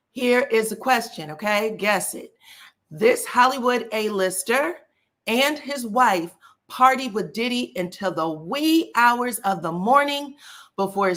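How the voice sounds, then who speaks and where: A woman's voice: on the high side, fairly bright tone. A woman with a scratchy voice hosts a live stream and chats straight to her viewers. She talks in a loose, folksy way, greeting people as she goes. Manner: chatty, folksy, addresses viewers directly. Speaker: celebrity gossip YouTuber. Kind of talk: casual live-stream gossip chat.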